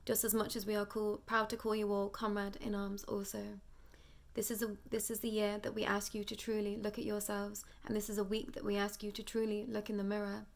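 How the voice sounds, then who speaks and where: A hoarse young woman speaks in a strikingly flat, unvarying tone. Her voice is gravelly and worn from nearly losing it. She reads a channeled spiritual message slowly and solemnly. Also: medium pitch. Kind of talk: reading a channeled message aloud.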